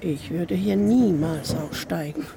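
An actress playing an anxious, gloomy train passenger in a radio play, fretful and ominous. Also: female voice, fairly low pitch, animated, slow, full character voice. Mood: ominous, uneasy. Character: anxious, gloomy fellow train passenger who warns of dangers. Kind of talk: German radio play dialogue. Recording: clearly noisy train-like vehicle ambience.

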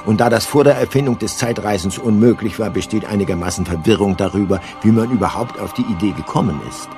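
Male radio-play narrator, lowish voice, deadpan literary irony, measured delivery, faint music underneath. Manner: measured, dryly ironic, literary. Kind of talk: scripted narration for a comic science-fiction radio play.

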